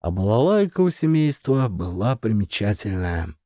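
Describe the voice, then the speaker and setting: A male audiobook narrator tells an adventure story in a fairly low, mellow voice. His intonation moves around a lot, giving the reading an expressive storyteller's feel. The recording is close and dry. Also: normal speed, no room sound.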